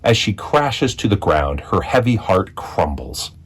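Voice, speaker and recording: A man reading a children's picture book aloud as a storyteller, with expressive narration and deliberate dramatic phrasing. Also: deep voice, husky. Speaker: read-aloud storyteller, school executive.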